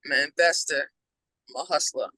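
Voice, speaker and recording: A woman's voice: low-pitched, fairly quick, sharp tone, no room sound, poor audio. A woman from the American South arguing insistently as a panelist on a remote call from her car. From southern United States. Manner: insistent, repeats "you understand?". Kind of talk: heated panel debate.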